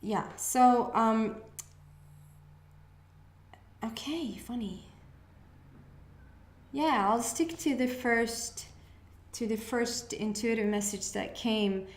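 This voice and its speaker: A Swedish-accented woman, Swedish by birth, gives a relaxed intuitive reading for her channel. Her speech is hesitant and musing, with pauses as she tunes in. The room around her is echoey. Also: on the high side. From Sweden. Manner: hesitant, musing, pausing to sense messages. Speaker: astrology reader, channel host. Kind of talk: casual intuitive reading to camera.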